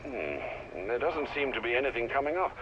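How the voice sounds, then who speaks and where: Polite, expressive butler over radio. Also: male voice, mid-range voice, moderate pace, dull audio, noisy background. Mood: attentive. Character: dutiful, polite male butler aide. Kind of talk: naturalistic video game acting.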